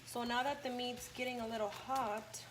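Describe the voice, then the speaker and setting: Woman talking through a recipe in her kitchen, kinda high voice, phone mic, lots of loud noise behind. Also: steady tone, normal speed. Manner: chatty, self-correcting, talks while cooking. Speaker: home cooking vlogger. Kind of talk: casual cooking tutorial. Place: home kitchen, indoors. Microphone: handheld phone camera.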